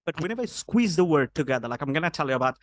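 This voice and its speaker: A man's voice: fairly high pitch, big pitch swings, racing, no echo. A man from Bangladesh with a Bangladeshi English accent, giving spoken feedback as an IELTS speaking coach, with many hesitant fillers.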